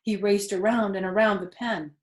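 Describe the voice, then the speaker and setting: An older woman reading a children's book aloud as a storyteller, with expressive narration. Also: medium pitch, normal speed, echoey room.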